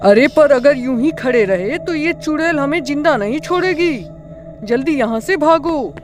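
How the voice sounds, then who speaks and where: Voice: high-pitched, lively intonation, normal speed, music in the background. A performer voicing young village friends in a cartoon horror story, in a dramatic, exclamatory way. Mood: panicked. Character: frightened or cocky young village friends hunting treasure.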